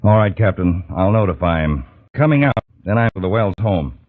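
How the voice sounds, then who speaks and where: An actor playing a shrewd, authoritative investigator in an old radio drama, measured and confident. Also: male voice, deep voice, expressive. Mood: grave, thoughtful. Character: shrewd, authoritative male government investigator chief. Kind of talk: 1940s radio drama acting. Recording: thin, phone-like old radio recording.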